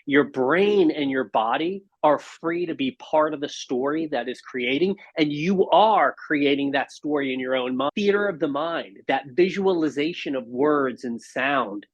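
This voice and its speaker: Husky man giving interview answers, muffled sound. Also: fairly high pitch, expressive, a little slow, few pauses. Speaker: podcast guest, audio industry marketing executive. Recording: dull, band-limited audio.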